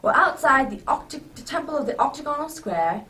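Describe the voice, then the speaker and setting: Woman playing genial gentleman, very high voice. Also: expressive, a little fast. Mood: amused recounting. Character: Doctor Watson, genial older gentleman playing Santa Claus. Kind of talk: amateur radio drama acting. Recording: distant microphone, background noise.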